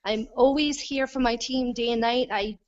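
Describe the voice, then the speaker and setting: A woman talking excitedly on a call from her phone, chatty and caffeinated. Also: a little high, moderate pace. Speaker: guest, team leader. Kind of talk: casual chat on a video call. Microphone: iPhone. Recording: dull lo-fi phone audio on a call.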